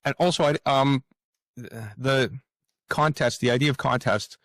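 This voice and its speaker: A man's voice: medium pitch, a little slow, a bit bright, dry recording. A man speaking reflectively as an interview guest, earnest and hesitant, often repeating words and phrases. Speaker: author, podcast guest.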